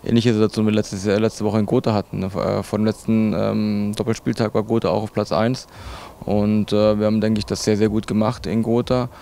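A man in his thirties gives a sports interview in a low, mellow, creaky voice. He is matter-of-fact and pauses to find words. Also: little pitch movement, slight background noise. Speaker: basketball coach. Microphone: handheld interview microphone.

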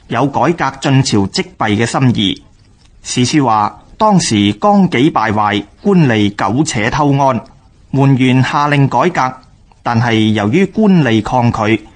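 A man with a Hong Kong Cantonese accent narrating scripted history for radio in a measured, expository manner. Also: medium pitch.

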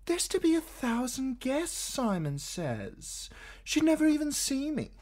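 A man's voice: extremely high pitch, sing-song, a little slow, darkish tone, pitched above the performer's own voice, exaggerated voice. A man voicing a sulky young woman who pleads with her lover. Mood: pleading.

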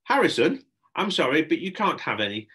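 A man's voice: on the high side, lively intonation, moderate pace, a bit bright, voice pushed up. A man voicing an exasperated woman who entertains at children's parties, firm, insistent and easily flustered, in a storybook reading.

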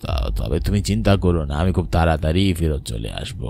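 A man's voice: low voice, animated, fast talker, vocal fry, dark tone, no room sound, exaggerated voice. A man voicing a stubborn farmer husband who talks in a teasing, dismissive way. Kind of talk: dramatized storytelling.

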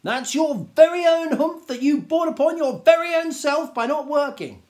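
A man's voice: very high-pitched, normal speed, a little mellow, voice pushed up. A man voicing a lofty, commanding desert djinn from a children's storybook, emphatic and theatrical in his scolding. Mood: stern, triumphant.

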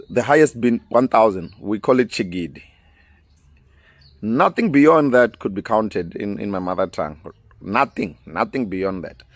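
A man in his thirties with a Venda South African accent chats easily on his own podcast, his voice sitting a little low. He laughs often and lets sentences fade out softly. The sound is dry and close, from a big studio mic outdoors. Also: animated, unhurried, pauses often, no echo. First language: Tshivenda. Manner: laughs often, easygoing, trails off quietly. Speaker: podcast host. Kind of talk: casual solo podcast chat with phone call. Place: outdoors in a sunny garden. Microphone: large studio mic close up.

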